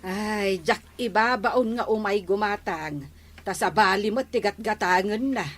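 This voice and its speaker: A woman's voice: medium pitch, a little slow, close to an everyday voice. A woman playing an easygoing village captain's wife in a radio comedy drama, hesitant and agreeable.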